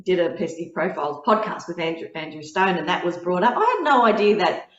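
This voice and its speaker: A woman's voice: lowish voice, expressive, brisk, fairly bright tone. A woman hosting a casual video interview, chatty, laughing often and restarting her sentences. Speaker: podcast host. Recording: dull lo-fi sound in a roomy space.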